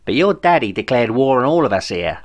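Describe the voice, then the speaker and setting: A man's voice: mid-range voice, very animated, brisk, voice pushed up. A man voicing a bossy, vengeful alien queen with a brash, sarcastic Cockney accent, reading an audiobook story. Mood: angry, bossy. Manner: brash, bawling, sarcastic, slangy.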